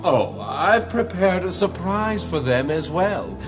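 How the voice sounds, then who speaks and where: A gloating villain in a fantasy audio drama, devoted to a dark lord. His delivery is highly animated and slightly high for a man, dripping with false courtesy. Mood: gloating menace. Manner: mock-polite, menacing, drawn-out delivery. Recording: music under the voice.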